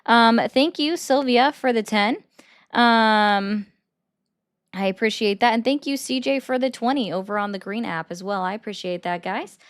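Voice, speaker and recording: A woman with a fairly high voice runs a call-in livestream. She talks bluntly and argues hard with her callers. She is quick to mock them. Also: clean tone. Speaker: livestream host taking callers.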